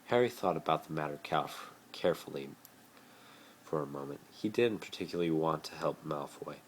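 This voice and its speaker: A man's voice: rumbling low, animated, normal speed, a little hiss or hum. A man narrating fan fiction as an amateur dramatic reader, recorded on a phone.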